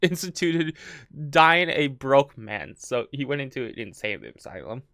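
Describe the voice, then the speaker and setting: A woman's voice: a bass voice, big pitch swings, a little slow, a bit bright, no room sound, studio quality. A woman hosting a true crime podcast, telling the story in a casual chat, giggling often and stumbling over her words.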